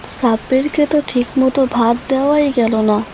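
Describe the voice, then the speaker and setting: A woman playing a careworn farmer's wife and mother in an animated folk tale. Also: sits high, moderate pace, close to an everyday voice. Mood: subdued. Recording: faint hiss and rain noise.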